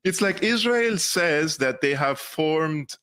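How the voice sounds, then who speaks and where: A man's voice: a little high, expressive, slow, fairly bright tone. A man with a Syrian accent, a guest in a political interview, speaking earnestly and emphatically with frequent restarts. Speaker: guest commentator.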